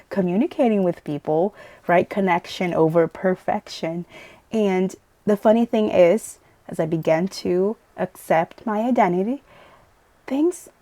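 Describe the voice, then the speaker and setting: A woman's voice: mid-range voice, darkish tone, dry recording. A woman from Brazil with a light Brazilian accent, teaching English pronunciation in a casual, chatty video lesson, laughing often and checking in with "right?". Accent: Brazilian Portuguese. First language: Portuguese.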